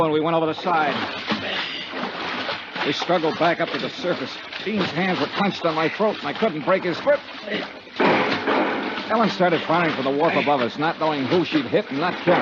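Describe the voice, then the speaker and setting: A man's voice: high voice, very noisy. An American actor playing a tough, world-weary insurance investigator in a 1950s radio drama, telling his story in terse, clipped phrases. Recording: dull 1950s radio recording with music.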